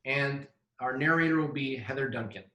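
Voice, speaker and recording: Bright-voiced man in an echoey room. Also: mid-range voice, moderate pace, lo-fi sound. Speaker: festival director introducing a cast. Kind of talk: casual scripted introduction. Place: indoors on a web call. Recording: roomy, echoey web call.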